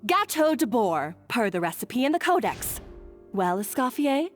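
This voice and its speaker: A confident, challenging woman cook from a video game. The mid-pitched voice moves around a lot as it taunts, with some music underneath. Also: big pitch swings, unhurried. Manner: cool, challenging.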